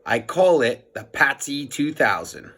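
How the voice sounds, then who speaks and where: A man's voice: medium pitch, big pitch swings, a little slow, pushed and bright, slightly rough, heavily acted. A man voicing an earnest, boastful nerdy boy inventor who explains things grandly and emphatically in a children's storybook. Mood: proud explaining.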